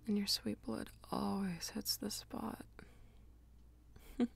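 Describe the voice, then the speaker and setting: A woman playing a dominant, teasing female vampire lover in an intimate ASMR roleplay, coaxing and breathy, often dropping toward a whisper. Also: mid-range voice, steady tone, heavily acted. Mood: seductive, teasing. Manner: slow, coaxing, teasing pet names.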